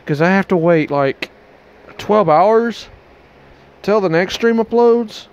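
Young male streamer, slightly high voice, loose rambling outro on a headset mic, small bedroom. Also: animated, slow pace, clear, resonant tone, muffled recording. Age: young adult. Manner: rambling, loose restarts, Southern y'all. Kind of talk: casual stream outro to camera.

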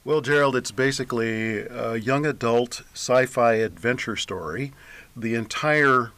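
Medium-pitched man explaining as a guest. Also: a little slow, a bit bright, no echo.

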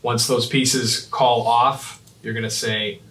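A man in his thirties giving a step-by-step software demonstration in short, clipped instructional phrases. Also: mid-range voice, level tone, slow. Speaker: fire dispatch trainer. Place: small indoor office at a computer desk. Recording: roomy, echoing, mic at a distance.

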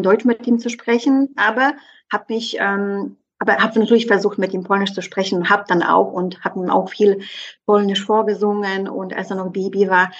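Zögernde Frau mit polnischem Akzent, dumpfer Klang. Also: female voice, medium pitch, muffled recording. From southeastern Poland. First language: Polish. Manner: hesitant, many fillers. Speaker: guest. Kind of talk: conversational interview.

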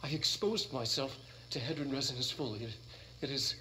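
An actor playing an earnest, haunted middle-aged male scientist who records research logs in a halting, stammering, intense way. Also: mid-range voice, background noise, natural voice. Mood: shaken, awed. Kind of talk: dramatic recorded log monologue in a video game.

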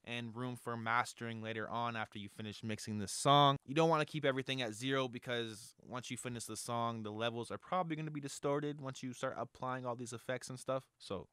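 A man's voice: mid-range voice, fairly bright tone, smooth, no echo. A young man in a home studio, giving a chatty, upbeat tutorial on mixing vocals, close to a studio microphone. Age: young adult. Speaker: music producer teaching vocal mixing. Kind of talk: casual tutorial. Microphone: close studio mic on arm.

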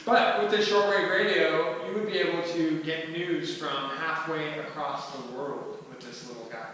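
A man's voice: fairly high pitch, steady tone, moderate pace, fairly bright tone. Man presenting in a very echoey hall. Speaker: presenter at a skillshare. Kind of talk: informal presentation to a small group. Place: large indoor room with wooden floor and mirror. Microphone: distant camera microphone. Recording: echoey hall, far from mic, faint noise.

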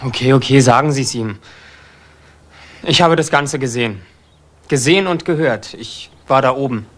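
An actor playing a nervous young homeless burglar in a crime drama, speaking in an agitated, halting, defensive way. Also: male voice, medium pitch, fairly bright tone, lightly acted. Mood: nervous, defiant. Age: young adult. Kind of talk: German TV crime drama acting.

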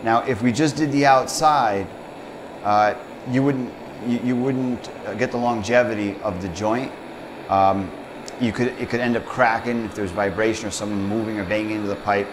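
A man's voice: fairly low pitch, steady tone, moderate pace, background noise. A man in his thirties, a plumber, casually talking through a hands-on demonstration at a workbench, plain and step by step with fillers. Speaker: plumbing instructor. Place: indoor workshop bench.

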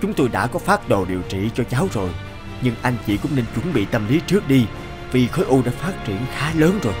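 A man's voice: medium pitch, big pitch swings, quick pace, natural voice. Man as a grave cartoon doctor. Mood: grave, regretful. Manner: formal, serious. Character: grave, measured male hospital doctor. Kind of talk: cartoon dubbing. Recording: music behind.

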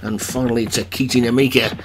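A man commentating a video game horse race for an online league, chatting between calls, his voice getting croaky. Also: medium pitch, steady tone, brisk, noisy background.

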